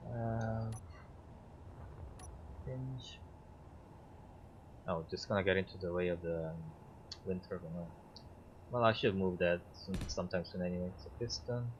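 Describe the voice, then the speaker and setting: Casting note: man with a very low voice, Korean as his first language, halting gameplay commentary full of fillers, dull lo-fi mic. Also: slight background noise. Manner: halting, thinking aloud with many fillers. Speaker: streamer.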